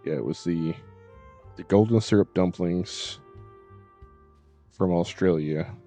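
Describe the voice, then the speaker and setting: A man live streaming, chatting casually in a halting, rambling way that trails off and circles back. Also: low voice, warm tone. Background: faint music.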